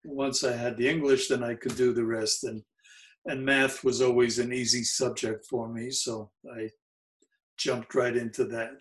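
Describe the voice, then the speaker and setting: Slow, mellow older man, New York accent. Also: mid-range voice, flat delivery, poor audio. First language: Yiddish. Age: 70s. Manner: mellow, unhurried, halting with frequent fillers. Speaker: interviewee. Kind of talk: oral history recollection.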